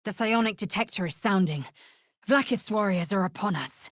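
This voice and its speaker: A woman playing a proud, fierce githyanki warrior in a video game, with a husky, raspy voice and a clipped, formal, commanding way of talking. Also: medium pitch, animated, moderate pace, dry recording, studio quality, natural voice. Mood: stern, resolute. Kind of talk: video game character voice lines.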